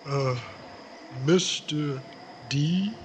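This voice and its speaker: A slow-spoken, mournful Death, acted by a man, courteous and formal, hesitating as he talks. The voice is slightly high, in a faintly noisy radio drama. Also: darkish tone. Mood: hesitant, weary. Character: weary, courteous, formal, melancholy male personification of Death. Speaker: radio actor. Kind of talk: comic old-time-style radio drama.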